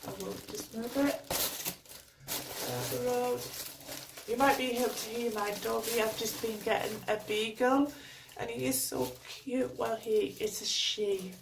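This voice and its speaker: Croaky Geordie woman, chatty home vlogger. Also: a little high, steady tone, measured pace, distant mic, background noise. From North East England. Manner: chatty, rambling, lots of fillers. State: croaky voice from a cold. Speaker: beauty vlogger. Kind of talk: casual unboxing chat.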